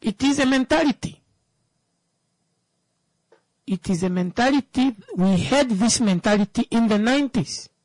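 A woman's voice: on the high side, lively intonation, measured pace, pauses often, a little mellow, no room sound, poor audio. A woman, giving an emphatic opinion talk that turns into a personal story, repeating words for stress.

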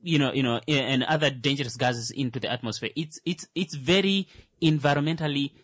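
A man in casual discussion works his way through an explanation, stopping often on fillers and restarting his sentences. His pitch is ordinary for a man. The sound is close and dry. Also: brisk, fairly bright tone, no echo. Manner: hesitant, full of "uh" and restarts. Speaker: talk show co-host.

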